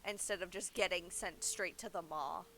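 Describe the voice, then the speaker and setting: A woman's voice: fairly low pitch, steady tone, moderate pace, bright, forceful tone, slight background noise, poor audio. A woman game streamer giving casual, rambling live commentary and explaining the story as she plays, often breaking off to restart her thought. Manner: informal, rambling, self-interrupting.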